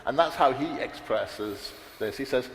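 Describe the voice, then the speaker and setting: A man's voice: mid-range voice, expressive, measured pace, creaky. A man on a conference panel, talking in a rambling, unscripted way with many restarts and fillers. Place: large echoey hall. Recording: roomy hall reverb, faint background noise.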